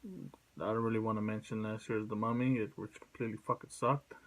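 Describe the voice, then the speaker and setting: A man speaking casually as a video host, blunt and sweary, in a halting, rambling way. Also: on the low side, level tone, rough recording. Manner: blunt, profane. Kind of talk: casual opinion vlog.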